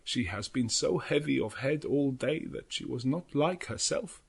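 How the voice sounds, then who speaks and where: Earnest old professor, swooping intonation. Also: male voice, mid-range voice, animated, creaky, slightly rough, exaggerated voice. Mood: grave, concerned. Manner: halting, non-native English phrasing, deliberate and dramatic. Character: elderly foreign professor, earnest, grave, devout vampire hunter. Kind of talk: dramatic audiobook narration of a journal.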